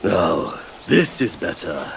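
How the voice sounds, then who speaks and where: A brooding, solemn old mystic in a fantasy game. He speaks slowly in a low, creaky voice that rises and falls, with music behind. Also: big pitch swings, slight background noise, exaggerated voice. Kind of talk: video game fantasy acting.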